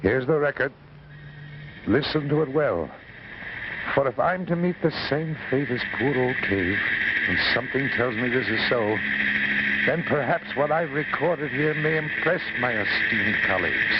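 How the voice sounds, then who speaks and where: An actor playing an obsessed, desperate professor in theatrical, insistent 1950s science fiction drama style. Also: male voice, fairly high pitch, animated, unhurried. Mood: grave. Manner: theatrical, impassioned, insistent rhetoric. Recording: dull, noisy 1950s broadcast recording.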